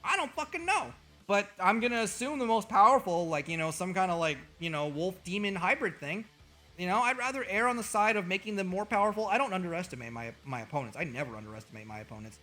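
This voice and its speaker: A man streaming as a VTuber, joking and trash-talking with over-the-top, profane bragging, his voice a little hoarse. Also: high-pitched, lively intonation, quick pace, bright, forceful tone. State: slightly hoarse. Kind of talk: comedic livestream banter.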